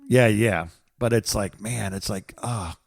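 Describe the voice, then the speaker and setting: A middle-aged American man co-hosting a podcast in casual chat, halting and rambling, often repeating words and trailing off mid-thought. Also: on the low side, creaky. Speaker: podcast co-host. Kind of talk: casual phone-call chat.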